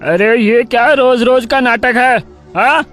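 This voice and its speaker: A man's voice: extremely high pitch, a little slow, fairly bright tone, faint music behind, pitched above the performer's own voice. An actor voicing an angry, scornful man in a storybook tale, harsh and scolding. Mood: angry, contemptuous.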